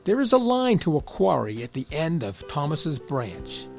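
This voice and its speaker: A man's voice: fairly high pitch, very animated, moderate pace, creaky, over loud music. A man reading a scripted children's story as the narrator.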